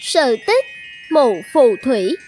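A woman's voice: high voice, very animated, slow, dark tone, a little hiss or hum. A woman reading scripted fairy-tale narration as the storyteller. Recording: music behind the voice.

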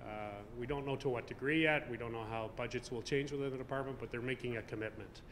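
A man's voice: medium pitch, steady tone, quick pace, a bit bright. Man speaking at a podium in a reverberant hall. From Canada. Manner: hesitant, many fillers and restarts. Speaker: convention speaker. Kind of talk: conference speech. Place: hotel conference room. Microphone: podium microphone. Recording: reverberant hall, faint room noise.

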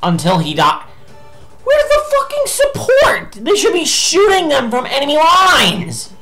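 A young man streams a game from a small room, ranting and cursing at it in a hoarse, gravelly voice. He speaks slowly and low, but his pitch leaps wildly whenever he gets frustrated. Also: wildly swooping pitch, pushed and bright, soft background music. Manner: ranting, swearing, frustrated outbursts. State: losing his voice. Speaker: gameplay streamer. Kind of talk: angry gameplay commentary.

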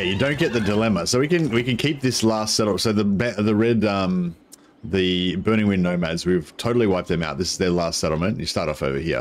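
A man, a croaky voice and a headset, chatting casually while streaming a strategy game, rambling with many ums. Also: low voice. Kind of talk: casual gameplay commentary.

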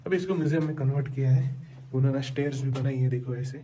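A man's voice: medium pitch, fast, warm tone. Chatty man vlogging on a phone. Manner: chatty, explaining sights to viewers, many fillers. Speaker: travel vlogger. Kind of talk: casual travel vlog narration. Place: outdoors by a lake, then an underground cave museum. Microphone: phone camera at arm's length.

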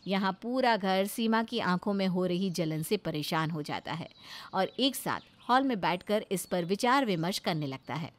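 A woman's voice: lowish voice, lively intonation, moderate pace. A woman narrating a scripted story as its storyteller.

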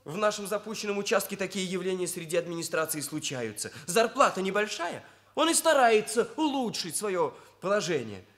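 An earnest young male hairdresser in a Soviet radio play. His voice is high for a man, and he talks with proud, naive, bookish formality. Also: big pitch swings, fairly quick, fairly bright tone. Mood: indignant. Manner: stiffly formal, bookish phrasing. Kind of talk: Soviet radio drama acting.

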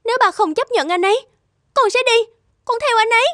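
An actress playing a naive, lovestruck young woman in an animated drama, with a dramatic, emotional manner. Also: extremely high pitch, brisk, no room sound. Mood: defiant. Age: young adult.

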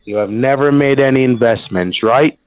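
Man, formal scripted pitch, reassuring, tinny phone line. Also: moderate pace. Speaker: phone scammer. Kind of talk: scripted sales pitch on a call.

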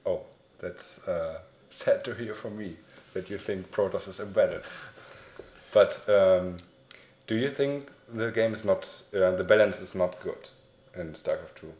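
A man's voice: deep voice, measured pace, a little mellow. A young man with a German accent interviewing a guest in English, friendly and hesitant with many fillers. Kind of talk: casual interview. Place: small indoor room, sitting on a couch. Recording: echoey room.

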